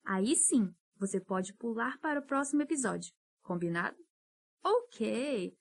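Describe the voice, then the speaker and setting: Woman teacher, encouraging, slow drills, lively pitch. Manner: patient, encouraging, drills words slowly. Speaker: English teacher. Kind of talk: podcast pronunciation lesson.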